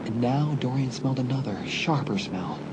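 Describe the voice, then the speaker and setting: A man narrating a dramatised horror audiobook with suspenseful, deliberate phrasing. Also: mid-range voice, heavy background noise.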